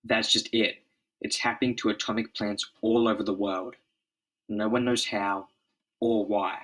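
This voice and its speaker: A man's voice: lowish voice, level tone, unhurried, a bit bright, rough recording. A man playing an authoritative scientist leader, serious, urgent and declarative. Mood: urgent, grave. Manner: earnest, declarative.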